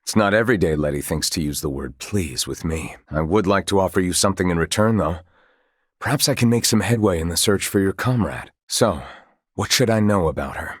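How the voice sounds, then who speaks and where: Dutiful fantasy game commander, very deep male voice, dry close sound, grave, courtly, formal diction. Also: husky, no room sound. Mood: courteous. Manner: formal, archaic courtly phrasing, measured. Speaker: video game voice actor. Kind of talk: scripted video game dialogue.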